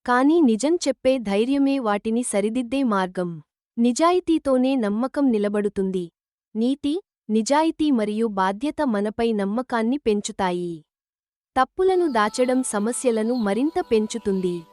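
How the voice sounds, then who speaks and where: A woman's voice: on the high side, expressive, unhurried, a little mellow, dry recording, clean studio sound. A woman reading a scripted children's moral story as the narrator.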